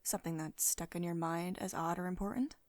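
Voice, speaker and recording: A woman playing a poised, teasing, mildly dominant company boss in an intimate ASMR roleplay, speaking in a measured, authoritative and condescendingly affectionate manner. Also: lowish voice, steady tone, a little mellow, dry recording, natural voice. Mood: amused, approving. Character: poised, teasing, mildly dominant female CEO of tech firm. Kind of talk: ASMR roleplay, intimate one-sided dialogue. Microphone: close studio-style microphone, dry voice-over.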